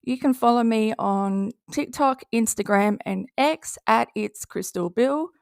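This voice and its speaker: A woman's voice: fairly high pitch, measured pace, vocal fry, clean tone, no room sound, clean studio sound. A woman with an Australian accent giving casual, sarcastic commentary and reading posts aloud in a blunt, mocking way. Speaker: commentary video host.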